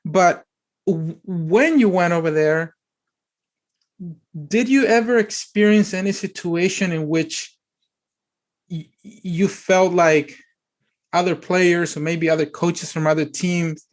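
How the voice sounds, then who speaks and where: A man's voice: sits high, slow, pauses often. A man hosting a podcast, asking questions in a rambling way with many restarts. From United States. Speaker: podcast host, former player agent. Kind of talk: casual interview conversation.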